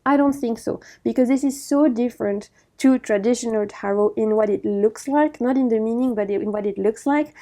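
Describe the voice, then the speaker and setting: A woman's voice: fairly high pitch, moderate pace, hardly any pauses, dark tone. A woman chatting enthusiastically through a tarot deck review, often correcting herself mid-sentence. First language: not Chinese. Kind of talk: casual review walkthrough.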